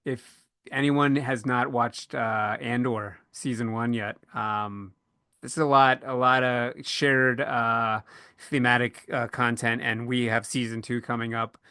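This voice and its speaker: A man with a very bright, forward voice moderates a casual podcast panel. He is recorded close and dry, and he feels his way through sentences with frequent pauses mid-thought. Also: medium pitch, fairly even intonation, smooth, no echo. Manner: halting, pausing often mid-thought.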